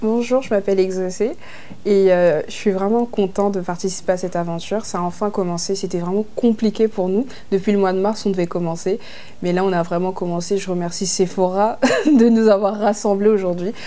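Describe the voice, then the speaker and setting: A woman from Brazzaville, soft-spoken and shy, talking to camera in a reality-show confessional. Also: medium pitch, fairly even intonation, brisk. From Republic of the Congo, Brazzaville.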